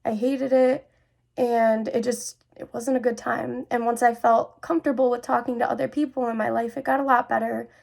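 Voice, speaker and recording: A woman's voice: a little high, level tone, normal speed, vocal fry, darkish tone. A young adult woman speaking earnestly and confidingly, as a host sharing personal experiences. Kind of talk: personal talk to camera about mental health.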